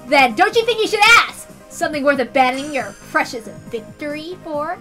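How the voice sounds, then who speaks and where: A woman's voice: sits high, expressive, moderate pace, bright, forceful tone, soft background music. A woman voicing a scheming, teasing boy who makes grandiose, insistent speeches in a visual novel reading. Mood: taunting. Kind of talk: animated visual novel read-aloud.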